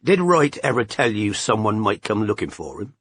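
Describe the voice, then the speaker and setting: A man voicing a gruff, terse male detective with a husky voice, asking short probing questions in an audiobook. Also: on the low side, big pitch swings, normal speed. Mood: questioning. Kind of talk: audiobook character voice.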